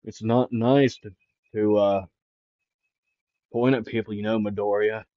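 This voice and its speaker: A man's voice: fairly low pitch, fairly even intonation, a little slow, no echo, muffled recording, close to an everyday voice. A man voicing a stern, dry adult male teacher of young heroes, blunt and commanding, in a told story. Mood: mild scolding.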